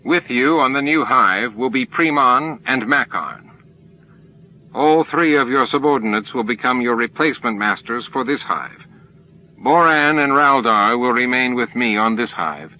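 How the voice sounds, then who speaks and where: A man's voice: mid-range voice, normal speed, highs cut off, close to an everyday voice. A man voicing a self-assured alien hive grandmaster in a formal, authoritative, clipped manner. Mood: commanding, formal.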